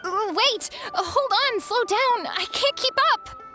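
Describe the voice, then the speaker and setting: A woman voicing a bubbly, pushy young girl trainee in a game, excitable and insistent. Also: extremely high pitch, slow, music in the background, full character voice. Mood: eager, coaxing. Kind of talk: animated game dialogue.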